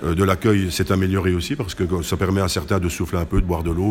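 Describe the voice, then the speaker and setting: A low-pitched man speaks quickly. He answers as an interview guest, explaining point by point in a conversational way, often stopping to correct himself. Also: flat delivery, a little mellow. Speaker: carnival committee president, interview guest.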